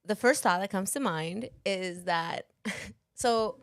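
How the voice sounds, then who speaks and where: A woman's voice: medium pitch, takes it slowly, no room sound, clean studio sound. A woman from Ecuador co-hosting a podcast, chatting and telling stories with frequent giggles. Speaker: podcast co-host. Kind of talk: casual podcast chat.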